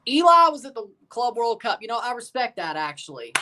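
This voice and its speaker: A man streaming a live match watchalong, chatting casually and calling goals with excitement while guarding a strained voice. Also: very high voice, brisk, far from the mic.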